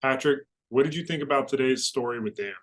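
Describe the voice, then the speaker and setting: A man's voice: medium pitch, quick pace, a bit bright. A man co-hosting a podcast in a friendly, conversational way, introducing and questioning a guest. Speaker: podcast co-host.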